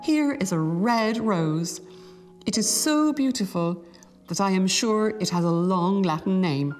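A woman's voice: medium pitch, animated, a little slow, darkish tone, soft background music, close to an everyday voice. A woman reading aloud voices a self-absorbed young male student who speaks with eager, lecturing earnestness. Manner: eager, then petulant and lecturing.

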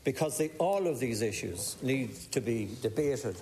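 A man with an Irish accent and a creaky voice making a parliamentary speech. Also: medium pitch, expressive, moderate pace, darkish tone. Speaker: politician. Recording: roomy chamber with background noise.

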